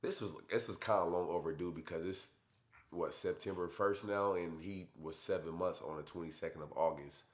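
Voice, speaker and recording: Hoarse laid-back young man. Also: deep voice, moderate pace. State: hoarse from being sick. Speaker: vlogger, father. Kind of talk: casual chat.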